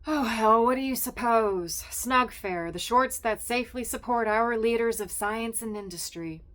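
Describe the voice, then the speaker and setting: A woman's voice: lowish voice, expressive, moderate pace, natural voice. A woman reading a story gives voice to a worn-out young typist who is short and clipped, her voice only a little above the reader's plain tone. She sounds tense and irritable, a storybook heroine at the end of her rope. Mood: sarcastic.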